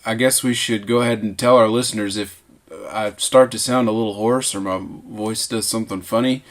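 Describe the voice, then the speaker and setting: A man's voice: fairly low pitch, fairly even intonation, fairly quick. A man in his late twenties with a Southern American accent, co-hosting a casual podcast from a home man cave, easygoing and self-deprecating. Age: late 20s. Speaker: podcast co-host, teacher. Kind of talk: casual chat.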